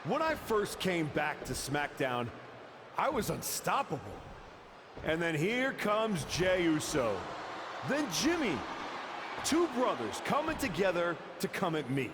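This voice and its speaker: Defiant, slow-talking wrestler. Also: male voice, high-pitched, sing-song. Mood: accusing. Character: defiant, accusing male comeback wrestler. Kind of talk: dramatic in-ring wrestling promo. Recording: noisy arena ambience.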